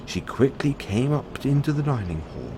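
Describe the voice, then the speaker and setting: A man reading a fairy tale aloud as a bedtime storyteller, in an expressive scripted narration. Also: mid-range voice, normal speed, noisy background.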